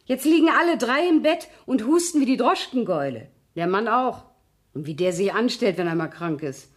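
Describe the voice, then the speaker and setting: An actress playing a cynical, weary working-class maid in a 1970s radio play, grumbling in an earthy, sardonic way. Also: female voice, fairly high pitch, expressive, moderate pace.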